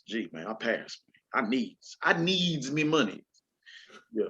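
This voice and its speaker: A man hosting a casual talk show, slangy and relaxed, then upbeat when he introduces the guests. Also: mid-range voice, slow pace, muffled recording, slight background noise. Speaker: podcast host.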